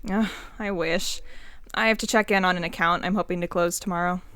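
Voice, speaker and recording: A woman's voice: on the low side, fairly even intonation, brisk, a bit bright, a little hiss or hum, lightly acted. A woman playing a hungry, impatient, overworked young woman in an audio play, snappy and sarcastic. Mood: weary. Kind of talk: naturalistic audio play dialogue.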